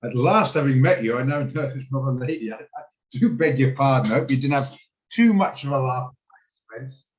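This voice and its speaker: A man's voice: medium pitch, fairly quick, poor audio. A man hosting a live online trading session over a video call, greeting people by name and talking in a rambling, self-deprecating way with lots of fillers. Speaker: trading room host.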